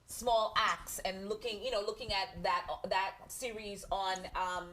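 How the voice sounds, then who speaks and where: A Caribbean woman hosting a casual online talk show over a video call, animated and teasing. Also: medium pitch, unhurried, low-quality recording. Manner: animated, teasing, talks with laughter. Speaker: host.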